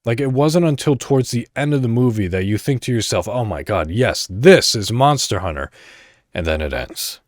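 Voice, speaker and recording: A man's voice: mid-range voice, lively intonation, normal speed, no room sound, studio quality. A man hosting a solo podcast, talking in an informal, conversational way with rambling asides and some reading aloud. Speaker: podcast host. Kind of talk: casual solo podcast commentary, partly reading articles aloud.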